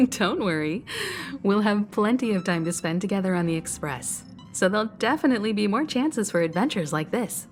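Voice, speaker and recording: An actress playing a poised, caring mature woman leader in a video game, gently advising. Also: medium pitch, big pitch swings, moderate pace, faint music behind, natural voice. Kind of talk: video game character dialogue.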